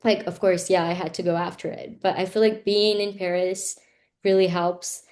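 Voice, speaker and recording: A woman's voice: on the low side, flat delivery, normal speed, darkish tone. A Brazilian woman in her twenties whose first language is Portuguese, speaking English in a casual interview, frank and reflective, with many "like"s and "you know"s. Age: 20s. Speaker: interview guest.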